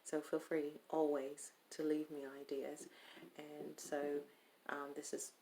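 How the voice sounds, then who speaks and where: Low gravelly woman in a put-on accent. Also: flat delivery, dark tone, low-quality recording. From Stockton, California. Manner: speaking in a deliberately put-on foreign-sounding accent; rambling, hesitant, with many pauses. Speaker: vlogger. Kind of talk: casual video chat.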